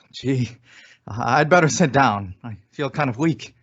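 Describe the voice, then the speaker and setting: A man's voice: mid-range voice, lively intonation, slow pace, breathy edge. A man playing an eager, doting husband and father-to-be in an old-time radio sitcom, with a coddling manner. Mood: weak, dazed. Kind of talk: 1940s radio sitcom acting. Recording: muffled old-time radio style recording.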